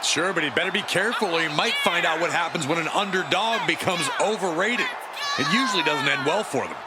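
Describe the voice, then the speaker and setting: A man's voice: on the high side, fairly quick, natural voice. A man playing a skeptical, cautioning wrestling color commentator in a video game. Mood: skeptical warning. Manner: cautioning, loud. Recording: loud crowd roar, heavy arena noise.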